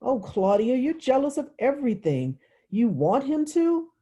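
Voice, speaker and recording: A woman's voice: high-pitched, very animated, a little slow, dark tone, husky, voice pushed up, exaggerated voice. A woman reading the part of an upset, exasperated young girl who confides in her sister with plain, childlike telling, in a novel. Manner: reluctant, plain childlike recounting. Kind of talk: literary audiobook dialogue.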